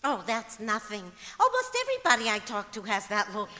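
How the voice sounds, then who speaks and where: Woman acting high, chirpy, scatterbrained housewife, hall reverb. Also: wildly swooping pitch, brisk, sharp tone, full character voice. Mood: cheerfully innocent. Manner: guileless, chirpy. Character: Gracie, sweet scatterbrained housewife, cheerfully illogical. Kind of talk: old-time radio comedy acting on stage. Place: stage of a performing arts auditorium. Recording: echoey auditorium with long reverb.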